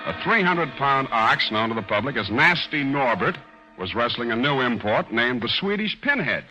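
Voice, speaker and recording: An actor playing a wisecracking, world-weary private detective in 1940s radio drama style, with wry, clipped delivery. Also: male voice, mid-range voice, big pitch swings, normal speed, sharp tone, muffled recording. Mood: dry narration. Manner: wry, clipped, flirtatious banter. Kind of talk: 1940s radio drama acting, first-person narration. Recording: vintage radio broadcast with music.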